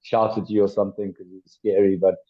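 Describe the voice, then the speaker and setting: A man in his twenties from South Africa, a former rugby player chatting as a podcast guest, with lots of pauses and restarts. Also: lowish voice, level tone. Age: 20s. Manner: hesitant, many ums and restarts. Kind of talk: casual interview chat. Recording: dull lo-fi sound.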